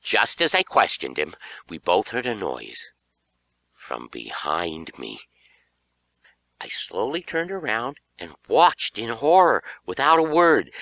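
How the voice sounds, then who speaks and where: A man's voice: medium pitch, gravelly, pushed and bright, no echo. A man narrating children's horror fiction in a theatrical, suspenseful audiobook style.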